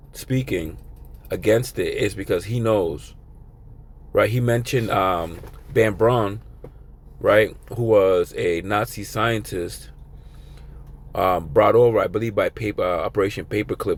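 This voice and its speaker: Hoarse, gravelly-voiced man preaching. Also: sits low, slight background noise. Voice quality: raspy. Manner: rambling, filler-heavy, conversational. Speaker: religious teacher. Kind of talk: informal video sermon.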